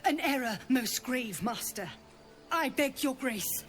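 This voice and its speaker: A woman's voice: high-pitched, animated, slow, soft background music. A woman playing a humbled, deferential druid novice in a fantasy video game, speaking formally and contritely.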